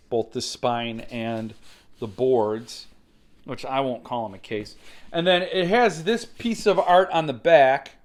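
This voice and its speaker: A man giving casual, opinionated unboxing commentary, explaining things conversationally as the host of his own review channel. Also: medium pitch.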